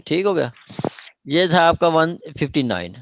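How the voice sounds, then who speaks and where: A man with a Pakistani accent explaining law as a lecturer, haltingly repeating and rephrasing his points and checking that students follow. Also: on the high side, very animated, gravelly.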